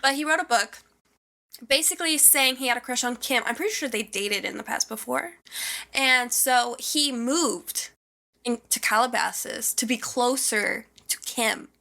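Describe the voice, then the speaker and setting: A young woman with a high, bright, forward voice chats as a podcast co-host. She gossips casually in an animated, slangy way, with the odd swear word. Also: moderate pace, vocal fry.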